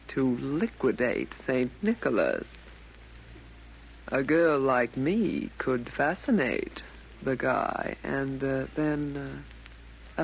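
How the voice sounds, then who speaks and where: Casting: slangy femme fatale murderess, coy and unhurried, lively intonation, vintage radio play with faint noise. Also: female voice, extremely low pitch, dull audio, exaggerated voice. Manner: coy drawl with brassy slangy grammar. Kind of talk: 1940s radio comedy acting. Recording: noisy old 1940s radio broadcast.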